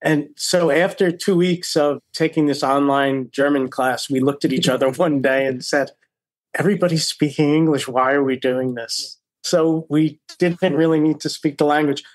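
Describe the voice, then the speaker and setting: Higher-voiced older American man, close and dry. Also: no echo. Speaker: interview guest. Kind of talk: casual storytelling chat.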